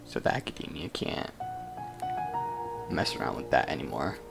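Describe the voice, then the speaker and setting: A man's voice: extremely low pitch, expressive, moderate pace, creaky, loud music behind the voice. A man giving casual, offhand playthrough commentary as a gaming streamer, trailing off between remarks.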